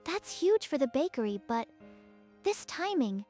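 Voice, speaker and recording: A high, youthful female voice from an actress in a romantic manga dub, gentle and supportive. She speaks with earnest concern over clearly audible music. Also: sing-song, measured pace. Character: Akane, gentle supportive young woman, bakery companion. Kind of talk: dramatic manga dub dialogue. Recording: music behind the voice.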